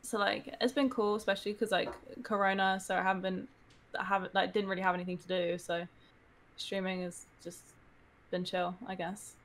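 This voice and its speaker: A woman's voice: medium pitch, fairly quick. An eighteen-year-old woman chatting casually on a webcam video call from her bedroom, full of fillers. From London. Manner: relaxed, filler-heavy, polite. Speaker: dating show contestant, streamer. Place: bedroom with a Christmas tree, indoors.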